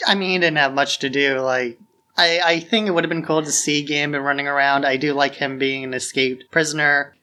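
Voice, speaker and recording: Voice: medium pitch, fairly even intonation, a little fast, bright, forceful tone. A podcast co-host chatting casually about films in an informal discussion.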